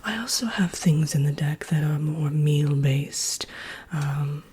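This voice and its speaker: Casting: woman performing haughty fairy-tale villain queen for ASMR roleplay. Low-pitched breathy whisper, unhurried delivery, sly and genteel. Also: mellow, slight background noise. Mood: drily wicked, instructive. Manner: genteel, unhurried, drily wicked. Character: haughty, wicked fairy-tale Evil Queen teaching recipes. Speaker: workshop presenter.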